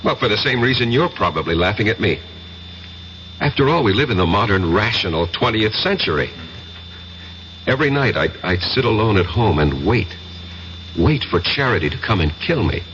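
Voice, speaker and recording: A haunted male professor, tells his story with theatrical intensity. His low voice rises and plunges dramatically through the muffled hiss of an old 1970s radio drama broadcast. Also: sing-song, moderate pace, a bit bright. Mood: reflective, confiding. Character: haunted male professor confessing a past life as soldier.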